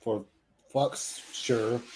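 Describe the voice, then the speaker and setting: A man's voice: medium pitch, fairly even intonation, extremely slow, slight background noise, poor audio. A man on a podcast panel chatting casually about movies, emphatic and full of slang and swearing.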